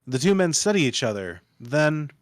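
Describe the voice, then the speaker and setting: A man's voice: fairly high pitch, very animated, normal speed, dry recording, clean studio sound. A man working as narrator, reading out scripted stage directions for a screenplay table read.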